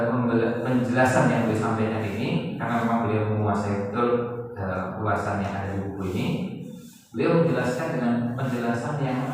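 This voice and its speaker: A man with an Indonesian accent giving an informal Islamic lecture, explaining step by step and reading from a book. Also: medium pitch. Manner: didactic, step-by-step, asks and answers own questions. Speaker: Islamic teacher. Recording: very echoey hall, distant mic, faint noise.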